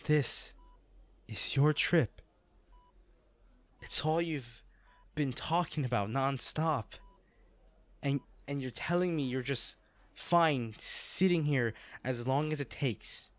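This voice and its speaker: Guy acting as a hurt boyfriend in a romance audio roleplay, tender and halting, takes it real slow. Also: mid-range voice, dry recording, close to an everyday voice. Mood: moved, disbelieving. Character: injured, devoted boyfriend proposing from a hospital bed.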